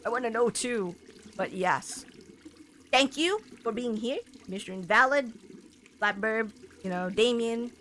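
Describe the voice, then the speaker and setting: A woman game streamer chatting casually to her viewers in a rambling, halting way, full of filler words. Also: on the high side, animated, a bit bright, slight background noise. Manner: rambling, halting, punctuated with "like" and "you know". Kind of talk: casual livestream chat to viewers.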